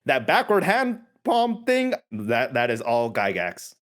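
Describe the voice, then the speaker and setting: A man in casual podcast conversation, animated and often laughing, sometimes halting and restarting while he thinks. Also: mid-range voice.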